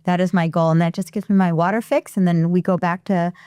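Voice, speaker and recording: A woman from Western New York talking casually as a podcast guest, chatty with many soft starts. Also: on the low side, steady tone, a little fast, clean tone, no room sound, clean studio sound. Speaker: podcast guest, property management owner. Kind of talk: casual interview chat.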